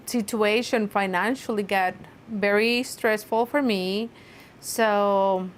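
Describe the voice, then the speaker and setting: Middle-aged mother, earnest and halting. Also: mid-range voice, a little hiss or hum. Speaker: interviewee, mother. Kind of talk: interview narration.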